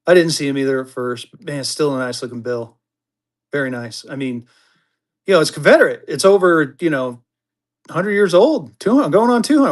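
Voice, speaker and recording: A man selling paper money on a livestream auction, chatting casually and breaking into excited hype shouts. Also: sits high. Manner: excitable hype bursts, chatty, drawling asides. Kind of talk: casual live-selling banter.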